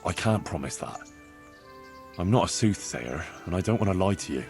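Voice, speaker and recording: An actor playing an easygoing, loyal young blacksmith's son in a medieval video game, casual, wry and sincere. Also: male voice, deep voice, lively intonation, normal speed, a little mellow, faint music behind. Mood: sincere, reassuring. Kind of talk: naturalistic video game dialogue acting.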